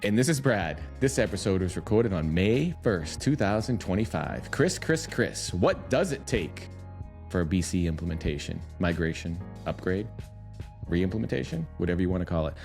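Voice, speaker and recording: A man's voice: sits low, animated, normal speed, creaky, a little mellow, soft background music. A man co-hosting a podcast, asking friendly, long, run-on questions and laughing easily. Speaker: podcast co-host. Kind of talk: casual podcast interview.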